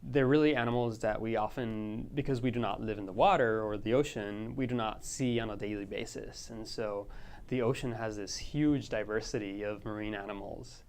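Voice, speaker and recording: A man's voice: on the low side, fairly even intonation, normal speed, a little mellow. A man from Costa Rica, associate director of a research center, talking about his work with enthusiastic explanations.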